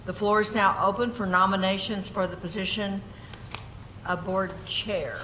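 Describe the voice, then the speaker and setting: Hoarse woman chairing a board meeting. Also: mid-range voice, steady tone, normal speed, a bit bright, a little hiss or hum, low-quality recording. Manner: procedural, with frequent ums and self-corrections. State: losing her voice, clearing her throat. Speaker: board chair presiding over a public meeting. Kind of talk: formal meeting procedure, reading agenda and script.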